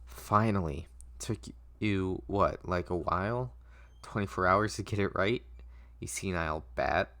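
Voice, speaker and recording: A man playing a sassy, impatient female assistant, snide and deadpan, in a dramatic script reading. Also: low voice, measured pace, exaggerated voice.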